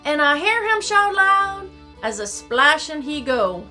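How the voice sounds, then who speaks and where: Woman putting on an excitable high Cajun storyteller. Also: slow, faint music behind, full character voice. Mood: excited. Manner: theatrical, singsong rhymed telling in dialect. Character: excitable, folksy Cajun storyteller of a Christmas tale. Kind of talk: children's storybook verse reading.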